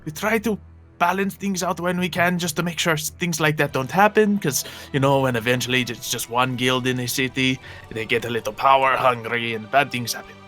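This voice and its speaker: A man's voice: medium pitch, a little fast, few pauses, fairly bright tone, natural voice. Man acting commanding guild mentor over music. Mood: firm, reassuring. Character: protective, commanding male guild mentor with reluctant authority. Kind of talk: improvised Minecraft roleplay acting. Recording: background music under voices.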